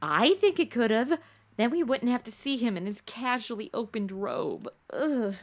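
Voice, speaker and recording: An actress as a brash modern twenty-something woman sleuth in a comedy radio play. Her voice sits high and swings widely in pitch. She is sassy, excitable and quick to butt in with slang. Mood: snarky, bold. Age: 20s. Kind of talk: comedic audio drama acting.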